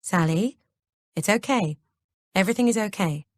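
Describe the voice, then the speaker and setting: A woman reading the part of a young man speaking softly and soothingly to calm others. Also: mid-range voice, normal speed, dry recording, clean studio sound, natural voice. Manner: soft, soothing.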